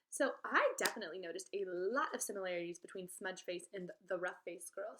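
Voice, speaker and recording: A woman teacher reading a storybook aloud for children, expressive and speaking to them directly. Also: mid-range voice, a little hiss or hum.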